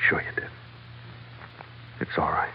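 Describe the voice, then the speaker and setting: An actor playing a tough, world-weary American adventurer captain, narrating tersely in vintage radio drama style. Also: male voice, a little slow, whispered, natural voice. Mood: subdued, consoling. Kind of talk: 1940s-50s radio adventure acting, hardboiled first-person narration. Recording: dull vintage radio recording with music.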